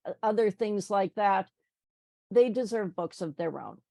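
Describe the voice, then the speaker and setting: A woman, a book co-author, talking slowly in casual conversation. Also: mid-range voice, expressive, creaky, dark tone, no echo. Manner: slow, with frequent affirming "yeah" and "right". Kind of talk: conversational talk.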